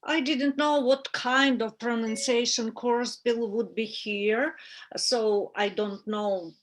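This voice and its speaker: A woman student with a foreign accent, speaking slowly and hesitantly, with many pauses. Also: on the high side, steady tone. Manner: slow, hesitant with many uh fillers.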